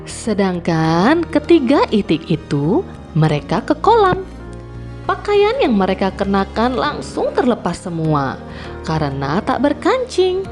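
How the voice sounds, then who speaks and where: A woman tells a children's story at an unhurried pace, with a very lively, swooping pitch. Her delivery is deliberate and expressive, and soft music plays behind her. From Indonesia. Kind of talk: scripted fairy-tale narration for children.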